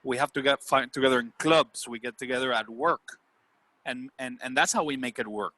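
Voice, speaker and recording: Bright-voiced man with a Puerto Rican accent. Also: medium pitch, fairly quick, no echo, rough recording. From Puerto Rico. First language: Spanish. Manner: earnest, seeks agreement with "right?" and "you know". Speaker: business executive leading a group discussion. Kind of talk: casual group discussion. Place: video call.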